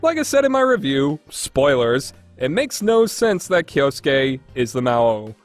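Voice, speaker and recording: A man's voice: mid-range voice, big pitch swings, unhurried, soft background music. A man giving a casual, opinionated game review in a blunt, conversational way. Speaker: video game reviewer hosting a podcast.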